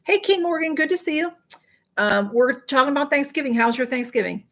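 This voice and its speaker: A woman's voice: sits high, lively intonation, fairly quick, fairly bright tone. A tired middle-aged woman, chatting casually on a livestream, rambling with fillers and greeting viewers by name. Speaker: livestream host. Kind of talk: casual chat with live viewers.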